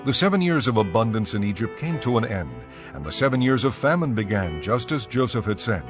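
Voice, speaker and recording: A man narrating scripture from a script in a measured, formal way. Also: mid-range voice, very animated, music in the background.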